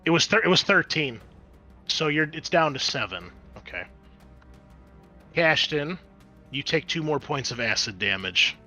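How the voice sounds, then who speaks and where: Bright-voiced man running a tabletop game. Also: a little high, sing-song, unhurried, pauses often, soft background music. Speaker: game master. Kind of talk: narrating and refereeing a tabletop roleplaying game.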